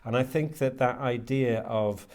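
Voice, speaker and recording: A British man in his fifties talking reflectively in an interview, halting and restarting as he searches for his words. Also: mid-range voice, darkish tone. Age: 50s. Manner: hesitant, halting, searching for words with repeated starts. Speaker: interviewee, writer and lecturer.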